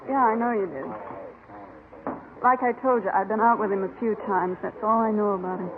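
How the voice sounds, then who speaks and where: A woman's voice: mid-range voice, fairly even intonation, a little fast, natural voice. A woman playing an evasive, weary suspect in a 1950s radio drama, answering in a guarded, defensive way. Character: evasive, weary, frightened female suspect and mother. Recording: noisy, narrow old radio recording.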